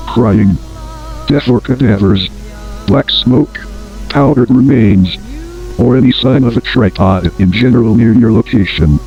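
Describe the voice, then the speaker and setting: A man playing a grave announcer on an emergency survival tape in an analog horror drama, formal, measured and deadpan. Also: medium pitch, close to an everyday voice. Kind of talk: scripted instructional tape narration, analog horror. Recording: dull lo-fi old tape, music behind.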